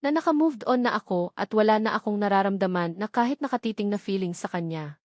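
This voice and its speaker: A woman's voice: mid-range voice, fast, darkish tone, no echo. Female audiobook narrator for romance fiction, scripted first-person storytelling, very dry recording.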